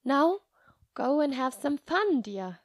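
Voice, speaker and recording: A woman voicing a kind, patient nun in a children's story, speaking softly and reassuringly with gentle humor. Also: sits high, big pitch swings, no room sound, studio quality, voice pushed up, heavily acted. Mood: warm, forgiving. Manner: soft, measured, reassuring.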